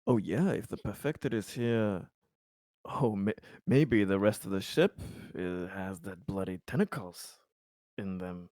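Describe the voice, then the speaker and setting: Low-voiced man playing a tabletop game. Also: measured pace, darkish tone, no room sound. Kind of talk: casual game table chat.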